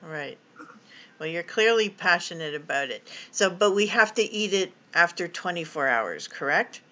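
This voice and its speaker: A male interviewer with a bright, slightly high voice asks follow-up questions in a casual chat. He breaks in with short affirmations between his guest's points. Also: lively intonation, normal speed.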